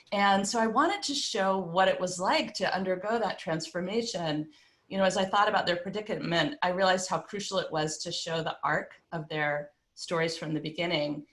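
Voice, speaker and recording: A woman from England, an author, talking fluently and expansively in a panel conversation. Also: lowish voice. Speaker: author guest.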